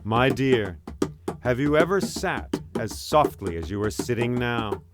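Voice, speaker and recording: A man's voice: mid-range voice, sing-song, a little slow, loud music behind the voice. Man telling a tale as a commanding, courteous bear king. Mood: courtly. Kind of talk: storybook oral storytelling.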